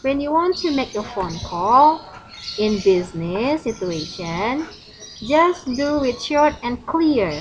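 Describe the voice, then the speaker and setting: A woman's voice: on the high side, very animated, slow, lo-fi sound. A woman with an Indonesian accent, a native Indonesian speaker, teaches English informally in an online lesson, explaining examples and translating as she goes. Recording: clearly noisy, with birdsong. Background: birds chirping, ambient noise.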